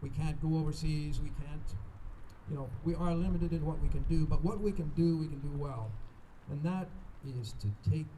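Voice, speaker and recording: Man with very dark, mellow voice. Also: on the high side, moderate pace, slight background noise, low-quality recording. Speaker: ceremony host giving closing remarks. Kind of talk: informal closing address with moral exhortation.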